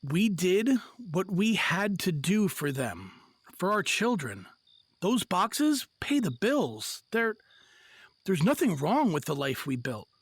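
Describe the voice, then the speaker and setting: An actor playing a weary, self-doubting husband and father in an audio drama, halting but sincere. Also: high voice, very animated, husky, dry recording, full character voice. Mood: defensive.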